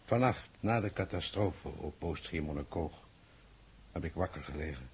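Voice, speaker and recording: A man's voice: very deep voice, animated, normal speed, breathy edge, exaggerated voice. An actor playing a troubled male official in a 1960s Dutch radio drama, hesitant and pensive as he questions experts.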